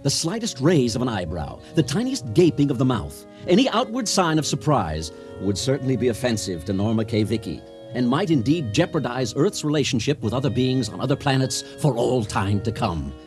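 A man narrates a scripted radio play. His voice has an average pitch with lively swings and a dramatic, deliberate storyteller's delivery, with faint music behind it.

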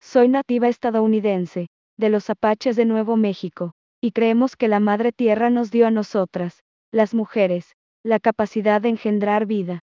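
Woman, higher register, warm and soft, dictating text with punctuation read out; dry, lo-fi sound. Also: steady tone, no echo. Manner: reads each phrase, then repeats it slowly, calling out punctuation. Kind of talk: reading a news text aloud as dictation.

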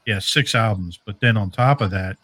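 Low-voiced man chatting on a podcast. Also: normal speed, sharp tone. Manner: rambling, jokey, informal, explaining trivia. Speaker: podcast co-host. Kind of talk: casual podcast chat.

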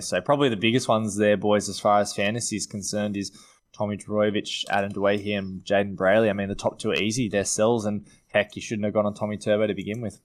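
An Australian man co-hosting a sports podcast, giving injury analysis in a matter-of-fact, clinical way. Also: on the low side. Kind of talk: fantasy rugby league analysis.

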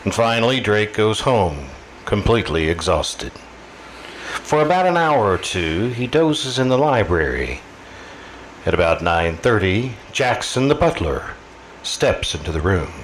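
Man as very low, ominous radio narrator. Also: sing-song, measured pace, slight background noise. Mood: ominous, measured. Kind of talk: scripted narration, old-time radio.

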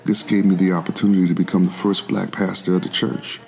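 An actor playing a devout, earnest preacher who escaped slavery, narrating his life in a gospel oratorio as a solemn, measured monologue. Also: low voice, little pitch movement, gravelly, darkish tone. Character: formerly enslaved 18th-century preacher recounting his life, earnest, devout. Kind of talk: scripted first-person dramatic monologue.

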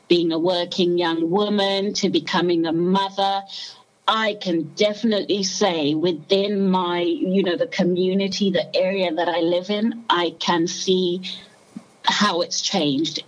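Casting: female podcast guest, 30s, from Zimbabwe, lowish voice, conversational and reflective storyteller. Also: muffled recording. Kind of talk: casual personal recollection.

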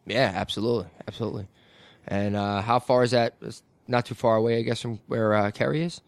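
Hombre relajado de voz rasposa. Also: male voice, mid-range voice, steady tone, fast talker, dry recording. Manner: laid-back, mumbly, laughs often. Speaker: podcast host. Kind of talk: casual podcast chat.

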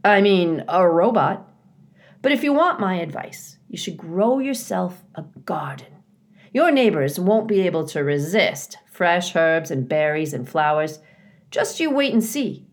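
A woman voicing a folksy, bossy but kindly male beaver builder in a children's storybook, chatty and gruff in manner. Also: fairly low pitch, sing-song, measured pace. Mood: folksy, teasing.

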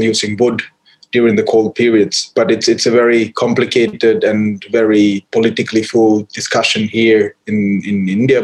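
A man from Sri Lanka, a photographer and podcast guest, who answers slowly and haltingly, with many restarts and fillers. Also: on the low side, darkish tone, breathy edge, low-quality recording. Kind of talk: podcast interview.

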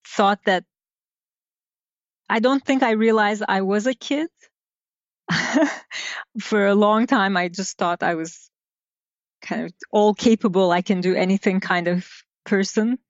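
Slow, reflective woman from Turkey. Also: mid-range voice, dry recording. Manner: unhurried, reflective, with many pauses and fillers. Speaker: guest designer. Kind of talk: casual interview storytelling. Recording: dull lo-fi sound.

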